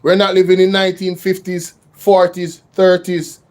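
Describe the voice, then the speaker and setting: A man's voice: sits high, monotone, moderate pace, darkish tone. A man from Jamaica hosting a casual live-stream chat, speaking earnestly in a standard English rather than patois.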